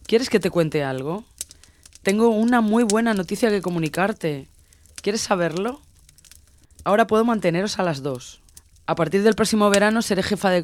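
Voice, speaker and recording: A woman's voice: fairly low pitch, a little hiss or hum, natural voice. A woman playing a possessive, abusive lover in an audio drama, pressing her partner with insistent, pleading questions.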